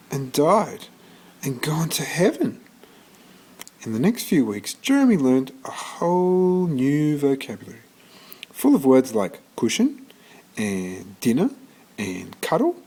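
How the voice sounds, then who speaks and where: A man reading a children's story aloud in an expressive storytelling manner, occasionally stumbling and restarting. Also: mid-range voice, slightly rough. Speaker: storybook reader.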